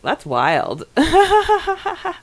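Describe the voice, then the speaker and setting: A woman co-hosting a podcast in a casual, giggly, expressive way as she reads and reacts to listener comments. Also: very high-pitched, drawn-out words. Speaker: podcast co-host.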